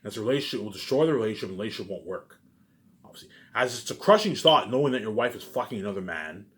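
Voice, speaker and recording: A man with a fairly low voice speaks very quickly in a rambling, off-the-cuff monologue to his viewers. He rants with plenty of profanity, circles back over the same phrases, and keeps adding short verbal tags to his sentences. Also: expressive, a bit bright. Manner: repetitive, with frequent verbal tags; profane, ranting. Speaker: vlogger.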